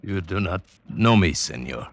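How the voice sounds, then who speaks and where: Radio drama: haunted mountain guide back from presumed death, husky, dry sound, halting formal delivery. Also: male voice, low voice, expressive, no echo. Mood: haunted, weary. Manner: halting, solemn, formal phrasing. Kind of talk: old-time radio drama acting.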